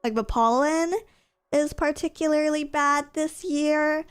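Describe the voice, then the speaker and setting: A woman's voice: high-pitched, measured pace. A young woman streamer in casual chat with viewers, sniffly from allergies, rambling with lots of fillers and giggles.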